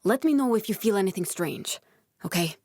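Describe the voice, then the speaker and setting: A woman's voice: medium pitch, animated, a little fast, a little mellow, no room sound, natural voice. Actress as earnest woman scientist, very dry recording. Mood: calm, instructive. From born in Norway, raised in Glasgow (character). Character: earnest, guarded woman scientist guiding a survivor ally. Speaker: video game character voice. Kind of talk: naturalistic video game dialogue acting.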